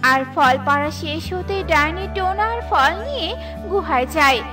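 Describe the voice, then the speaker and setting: Children's story narrator, woman: notably high voice, scripted delivery, over a music bed. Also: lively intonation, a little slow. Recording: music behind the voice.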